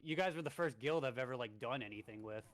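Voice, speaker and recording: A man's voice: mid-range voice, fast, fairly bright tone. A man in his twenties, streaming a game and leading a raid, talking in a rambling, easygoing way with lots of fillers. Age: 20s. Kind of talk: casual gaming chat and raid briefing.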